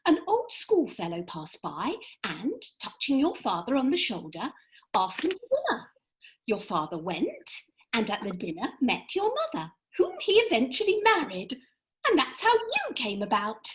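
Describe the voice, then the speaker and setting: High, swooping, bossy fairy played by a woman. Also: huge pitch swings, moderate pace, slight background noise, poor audio. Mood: lofty, lecturing. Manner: emphatic, precise, lecturing. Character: brisk, bossy female fairy, lofty and theatrical. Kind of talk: theatrical comic radio play acting.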